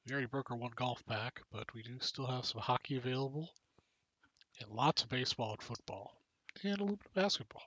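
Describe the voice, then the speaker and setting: Bright-voiced joking man on a lo-fi stream. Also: mid-range voice, fast talker, no room sound. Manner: joking, chatty, reads out names and cards. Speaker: live stream card break host. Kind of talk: casual live stream chat. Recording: dull lo-fi stream audio.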